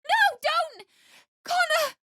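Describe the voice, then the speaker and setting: High-voiced distraught young widow. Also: female voice, drawn-out words, noisy background, full character voice. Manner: emotional, pleading, swinging between grief and anger. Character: distraught young pregnant widow, desperate, bitter, grieving. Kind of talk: dramatic video game voice acting.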